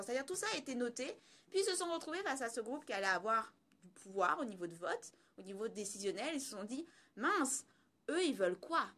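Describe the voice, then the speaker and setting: A woman's voice: sits high, pushed and bright. A woman commentating on social issues in a conversational, explanatory way, repeating phrases for emphasis.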